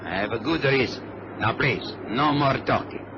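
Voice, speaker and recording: A man's voice: medium pitch, normal speed, close to an everyday voice. A man playing a polite but menacing hijacker in a radio drama. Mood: cool, commanding. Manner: courteous, coolly threatening. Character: polite, menacing Latin male hijacker with a gun. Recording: noisy, dull vintage radio recording.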